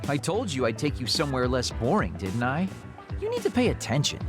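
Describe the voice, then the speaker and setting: A man's voice: mid-range voice, huge pitch swings, brisk. An actor playing a teasing, world-weary immortal man in a video game cutscene. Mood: playful. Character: burned-out, playful man two thousand years old, hollow inside. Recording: music behind the voice.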